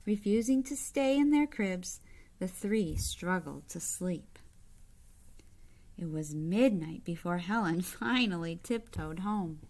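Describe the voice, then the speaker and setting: Slow-paced woman reading a picture book aloud. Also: fairly low pitch. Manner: deliberate, expressive phrasing, pausing to comment to young listeners. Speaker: read-aloud storyteller, teacher-like. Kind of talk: picture book read-aloud with brief asides.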